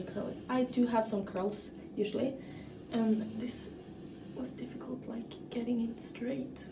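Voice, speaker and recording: A young woman from northern Norway with a Norwegian accent, chatting casually in English while doing her hair, halting and full of fillers. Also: mid-range voice. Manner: halting, frequent fillers and restarts. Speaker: vlogger. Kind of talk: casual get-ready-with-me chat. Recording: echoey room, mic far off, clear background noise.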